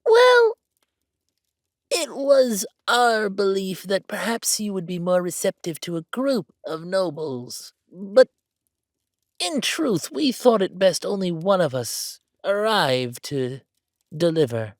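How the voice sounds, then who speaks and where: Pompous, higher-pitched haughty count. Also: male voice, big pitch swings, slow, no echo, clean studio sound, exaggerated voice. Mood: officious. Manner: pompous, formal, clipped condescension. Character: haughty, blunt male count, pompous fantasy noble. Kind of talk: villain roleplay audio drama.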